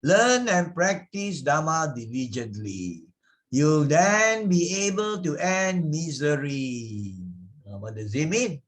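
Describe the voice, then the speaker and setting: A man with a Malaysian accent giving an explanatory moral lesson as a teacher, deliberate and didactic, stressing and repeating key words. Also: on the high side, sing-song. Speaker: Buddhist Sunday school teacher.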